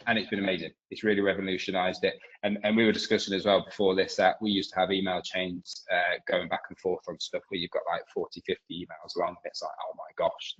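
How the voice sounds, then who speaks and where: A man's voice: deep voice, a bit bright, husky. A man opening a webinar over a video call, chatty and loose, with plenty of ums. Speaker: webinar co-host. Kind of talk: casual webinar housekeeping. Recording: dull lo-fi web call audio.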